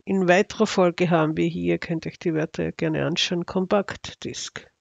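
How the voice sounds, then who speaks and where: A man's voice: sits high, fairly even intonation, normal speed, vocal fry, no echo, highs cut off. A man with an Austrian accent giving casual, unscripted commentary as an astrology video narrator, in a sardonic, ironic manner.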